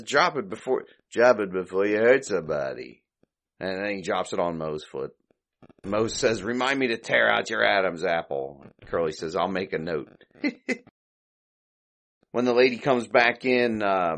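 A man's voice: on the low side, a bit bright. A man hosting a podcast, casually recapping an old comedy short with lots of hesitations and self-corrections. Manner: rambling, hesitant, full of ums and self-corrections; retells scenes, briefly quoting characters. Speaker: podcast host.

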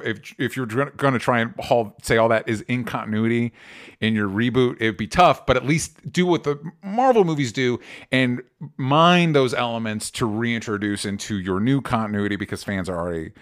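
A man's voice: lowish voice, a bit bright, clear, resonant tone. A man co-hosting a casual podcast, rambling, with frequent restarts and fillers. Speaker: podcast co-host.

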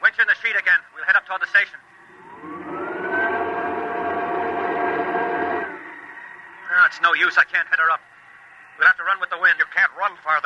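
A man acting a frantic, high-pitched crewman. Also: natural voice. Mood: frantic, urgent. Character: frantic storm-battered land-ship crewman on an alien world. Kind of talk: radio drama acting. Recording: noisy, dull old radio recording.

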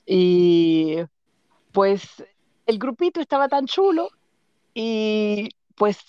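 A woman in her fifties with a Dominican accent, recounting her past in a relaxed, lively way and laughing often. The sound is dry and a bit dull and lo-fi. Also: mid-range voice, drawn-out words, smooth, no room sound. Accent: Dominican Spanish. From Dominican Republic. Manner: lively, laughs often, acts out other people's lines. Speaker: guest. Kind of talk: casual storytelling interview.

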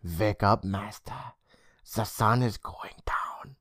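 An adult reader voicing a polite, sinister vampire woman with a European accent and a measured, knowing manner for a story. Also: medium pitch, very animated, a little mellow, no room sound, clean studio sound. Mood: ominous, hushed.

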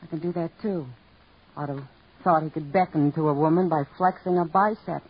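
A woman's voice: low voice, steady tone, husky. An actress playing a weary, guarded woman who stammers and hesitates, in a 1940s radio drama. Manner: halting, stammering. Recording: lo-fi old radio broadcast.

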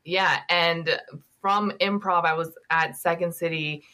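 A woman's voice: on the low side, fairly even intonation, measured pace, sharp tone. A woman originally from Kashmir who moved to the US as a child, chatting casually and jokily as a comedy guest. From born in Kashmir, moved to the US at seven. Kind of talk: casual comic interview chat.

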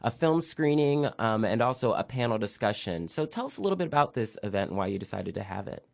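A man's voice: lowish voice, lively intonation, fast, gravelly. A man hosting a radio talk show who interviews a guest with upbeat enthusiasm.

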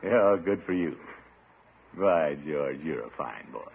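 Blustery, soft-hearted middle-aged uncle, hammy 1950s radio comedy, widely swooping pitch, tinny old broadcast. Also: male voice, lively intonation, slow, gravelly, a little hiss or hum, full character voice. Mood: fond. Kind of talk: 1950s radio sitcom acting.

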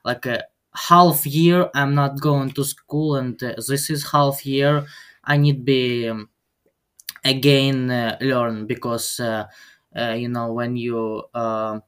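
A teenage boy from Ukraine with a Ukrainian accent, speaking halting non-native English full of pauses and fillers as a podcast guest. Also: medium pitch, fairly even intonation, fairly bright tone, smooth. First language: Ukrainian and Russian. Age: teens. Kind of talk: podcast interview.

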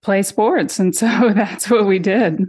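A woman in her forties, raised in Massachusetts with her Boston accent mostly gone, recounting her family in a relaxed interview. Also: mid-range voice, slow pace, husky. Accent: General American, Boston accent mostly gone. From Massachusetts, USA. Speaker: interview guest, sister of missing woman. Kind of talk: casual interview conversation.

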